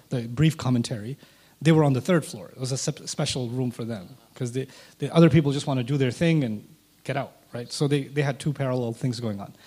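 A man whose first language is Urdu, a guest telling a personal story and acting out past conversations. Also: medium pitch. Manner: animated, acts out past conversations. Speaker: interview guest.

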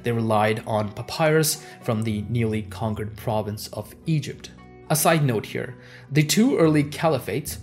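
A man whose first language is Urdu, giving a measured, scripted history narration and stressing the transition words. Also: mid-range voice, a little mellow.

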